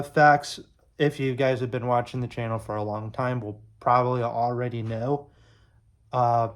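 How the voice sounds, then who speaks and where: Young guy from illinois, chatty vlog ramble, lots of uhs, mid pitch voice. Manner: rambling, many fillers and restarts. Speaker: YouTube sports creator. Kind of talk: casual talk to camera.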